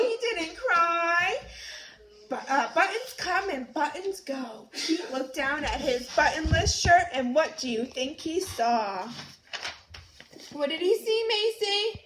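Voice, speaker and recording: A woman reads a picture book to her little one at home, with a very high voice that swoops up and down and an unhurried pace. She coaxes and quizzes the child in a sing-song way. The room is roomy and noisy. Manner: sing-song, coaxing, asks the child questions. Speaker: parent reading to her young child. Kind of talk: animated picture-book read-aloud.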